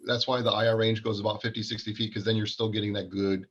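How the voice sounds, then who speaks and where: A man's voice: on the low side, level tone, fast talker, a bit bright. Man giving technical product talk, dull audio. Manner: explanatory, technical. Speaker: company product presenter. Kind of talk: informal product presentation.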